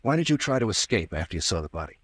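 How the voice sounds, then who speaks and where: Low-voiced, relentless police captain. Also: male voice, big pitch swings, racing, a bit bright, dry recording, exaggerated voice. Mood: stern, accusing. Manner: pressing, sardonic questioning. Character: stern, relentless police captain interrogating a suspect. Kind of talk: old-time radio drama acting.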